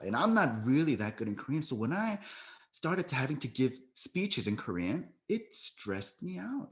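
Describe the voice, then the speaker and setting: Lively American man coaching on a call. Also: a little high. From United States. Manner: coaching, often tags "right?". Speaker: sales trainer. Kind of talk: training talk on a video call. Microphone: video call.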